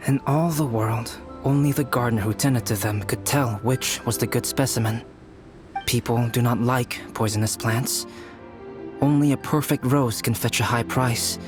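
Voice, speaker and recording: An actor voicing a composed, thoughtful young male alchemist in a game, speaking in a calm, measured, soft-spoken way. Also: mid-range voice, music in the background, close to an everyday voice.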